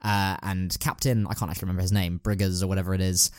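Low-pitched man thinking aloud, close dry sound. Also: level tone, fast, a little mellow, dry recording. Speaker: podcast co-host. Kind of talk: casual chat about staging a radio play.

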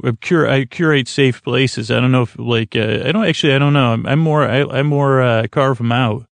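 A man hosting a bedtime podcast in a creaky voice, meandering and halting through tangents and self-corrections in a lulling, reassuring way. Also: mid-range voice, no echo. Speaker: podcast host. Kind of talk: rambling bedtime sleep podcast monologue.

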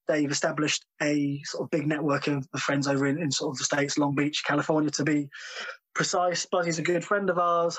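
A man, a drummer being interviewed, talking casually with many ums and pauses. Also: fairly high pitch, fairly bright tone, low-quality recording. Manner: hesitant, full of ums, laughs easily.

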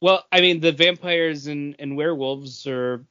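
A man's voice: on the high side, measured pace, pushed and bright, clean tone, no room sound, highs cut off. A man co-hosting a podcast in casual chat, rambling through his ideas. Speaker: podcast co-host.

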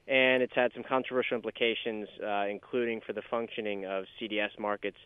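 A man's voice: lowish voice, little pitch movement, a little fast, clear, resonant tone, no room sound. A man hosting a podcast, upbeat and teasing as he introduces a colleague. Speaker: podcast host. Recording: tinny phone-like line.

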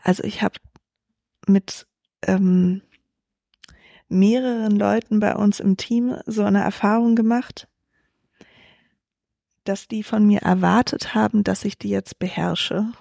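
Young woman founder, dark mellow voice, dry close sound, thinks out loud with lots of fillers. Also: medium pitch, fairly even intonation, takes it slowly, gravelly, pauses often, no room sound. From Germany. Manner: thoughtful, many fillers and restarts. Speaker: startup founder, guest. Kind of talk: reflective interview answers.

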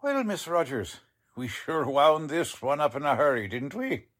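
An actor playing a folksy, plain-spoken police lieutenant in a 1940s-style radio drama, talking in slangy, ungrammatical phrases. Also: male voice, fairly high pitch, big pitch swings, moderate pace, a bit bright. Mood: genial, folksy. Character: blunt, folksy male police lieutenant, none too polished.

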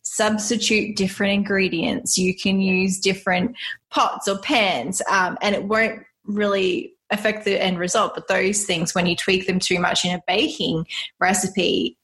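A woman's voice: mid-range voice, steady tone, unhurried, fairly bright tone. A woman hosting a cooking podcast, chatty and bubbly, laughing often between points. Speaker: podcast host. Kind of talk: casual podcast chat.

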